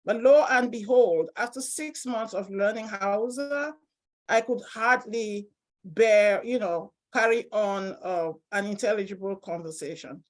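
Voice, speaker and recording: A woman's voice: mid-range voice, a little slow. A woman from Cameroon with a Cameroonian accent, speaking as a professor giving an informal, personal academic presentation at a seminar.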